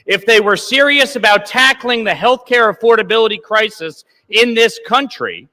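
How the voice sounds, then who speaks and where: Combative congressman, bright and high. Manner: combative, blaming. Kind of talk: floor speech. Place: legislative chamber. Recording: long reverb of a large chamber.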